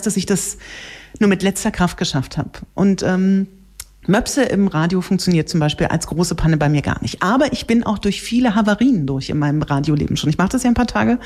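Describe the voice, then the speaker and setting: A woman radio host chatting casually on live radio, telling things in an animated way. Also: medium pitch.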